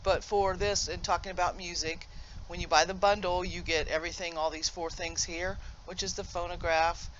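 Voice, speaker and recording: A man's voice: high-pitched, flat delivery, moderate pace, a bit bright, highs cut off, slight background noise. A man giving a casual, unscripted game tutorial in an informal, explanatory way, correcting himself as he goes. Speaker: gamer presenting a demonstration. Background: video game music.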